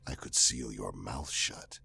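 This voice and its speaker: An actor playing a ruthless, fanatical mutant ex-commissar in an audio drama, gravelly and ominous, with terse lines that sink into breathy whispers. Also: male voice, rumbling low, unhurried, pushed and bright, soft background music, heavily acted. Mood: menacing, fanatical.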